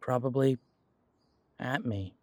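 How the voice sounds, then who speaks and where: A man playing an insecure, defensive werewolf in an audio roleplay, speaking in a halting, stammering way as if confiding in someone close. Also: mid-range voice, dark tone, dry recording, natural voice. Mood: downcast, self-doubting. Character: insecure pure-blood male werewolf, self-doubting, defensive. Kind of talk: audio roleplay drama, one side of an intimate conversation.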